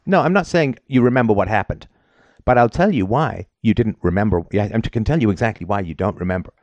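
Talkative low-voiced man hosting a call-in. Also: expressive, fast, darkish tone, dry recording, dull audio. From Britain. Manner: talkative, storytelling, frequent "right?" tags. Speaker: call-in show host. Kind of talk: call-in advice conversation.

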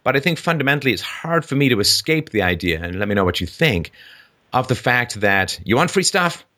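A man's voice: mid-range voice, sing-song, brisk, sharp tone. A middle-aged man from England speaking as an interview host, giving expansive, emphatic explanations in a conversational political monologue. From born in Ireland, grew up in London, England.

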